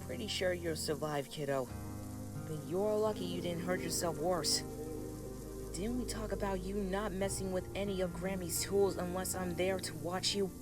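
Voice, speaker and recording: A man voicing a kindly, patient older grandmother in a comic dub, speaking in a gentle, folksy, reassuring way. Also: sits high, moderate pace, lightly acted. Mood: tender. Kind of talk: scripted comic dub dialogue and storytelling. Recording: loud background music under voice. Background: film soundtrack music.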